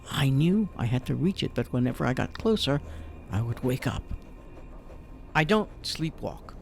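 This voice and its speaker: A haunted man confesses his fears in an uneasy voice with a gravelly edge, as a man acts him out, set in a radio play. Faint noise sits behind him. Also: medium pitch. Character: haunted man recounting a dread secret. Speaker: voice actor. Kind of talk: radio drama narration.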